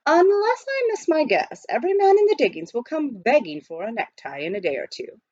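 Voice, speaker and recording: A woman voicing a composed, confident, shrewd gentleman with measured phrasing in a storybook. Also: high voice, big pitch swings, dry recording, lo-fi sound, voice pushed up. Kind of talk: children's storybook character voice.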